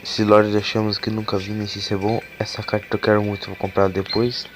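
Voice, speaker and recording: A man with a cold and a hoarse voice, talking casually as he opens card packs for a gaming video, cursing and getting excited over the cards. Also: lowish voice, fairly quick. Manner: casual and swearing, excitable when cards are revealed. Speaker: gaming YouTuber. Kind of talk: casual gameplay commentary. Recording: game music playing behind the voice.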